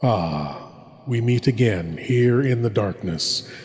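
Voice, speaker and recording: Man playing a slow, sinister horror host in cavernous reverb. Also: lowish voice, animated, a little hiss or hum. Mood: sinister, welcoming. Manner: theatrical, hushed, dramatic pauses, florid. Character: sinister, courtly male horror host savoring terror and darkness. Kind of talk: scripted theatrical horror anthology introduction. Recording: cavernous reverberant hall effect.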